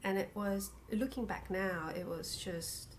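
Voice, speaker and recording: Female interview guest, middle-aged, from Thailand and New Zealand. Unhurried, pausing, reflective, breathy, sometimes near whisper. Video call, slight background noise. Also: mid-range voice. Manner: halting, reflective, phrases broken by pauses. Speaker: interview guest, spiritual practitioner. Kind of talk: personal life story in conversation.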